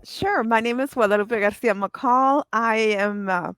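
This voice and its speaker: A woman's voice: on the high side, a little slow, fairly bright tone, a little hiss or hum. A woman from Mexico, introducing herself as an author on a panel in an upbeat way. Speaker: author guest.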